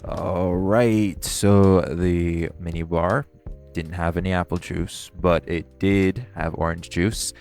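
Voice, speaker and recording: A man's voice: fairly even intonation, takes it slowly, darkish tone, soft background music. A man playing a teasing, flirtatious vampire in an intimate audio roleplay, smug yet protective. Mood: teasing, caring. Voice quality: low, gravelly voice.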